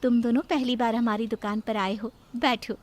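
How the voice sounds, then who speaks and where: A woman acts as a humble, hardworking young wife in a cartoon morality tale. Her voice is fairly high. She talks gently and politely, with an eager, helpful manner. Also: steady tone, moderate pace, natural voice. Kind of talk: cartoon moral-story voice acting.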